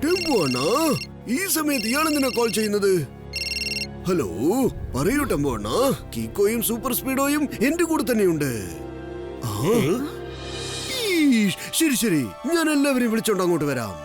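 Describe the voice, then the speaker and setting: A fussy, excitable male cartoon mayor, high in pitch and very animated in tone. He is comically dim and self-important, breaking into exclamations, with music playing behind. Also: moderate pace, pauses often. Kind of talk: dubbed children's cartoon acting.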